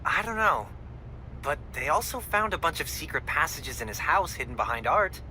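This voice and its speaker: An actor playing a chatty, goofy teenage sidekick in a video game, rambling playfully through odd tangents. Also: male voice, on the high side, animated, moderate pace, bright, forceful tone, a little hiss or hum, low-quality recording. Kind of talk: video game cutscene acting.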